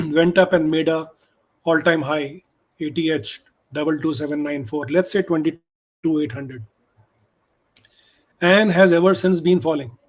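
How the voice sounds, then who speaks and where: A man's voice: on the high side, slightly rough. A man with an Indian accent, whose first language is Hindi, giving emphatic stock market commentary and mixing in Hindi. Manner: emphatic, switches between English and Hindi. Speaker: stock options trader.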